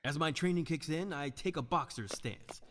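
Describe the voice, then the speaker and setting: A man's voice: a little high, moderate pace, fairly bright tone, a little hiss or hum, close to an everyday voice. A man playing an earnest, anguished hard-boiled detective who narrates his own life in a noir audio drama. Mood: grave narration. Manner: melodramatic, self-narrating. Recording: hall-like long reverb.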